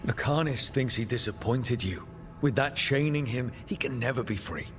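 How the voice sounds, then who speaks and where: An actor playing a loyal, resolute video game hero, speaking in an earnest, reassuring manner. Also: male voice, medium pitch, normal speed, lightly acted. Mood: calm, firm. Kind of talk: video game character acting.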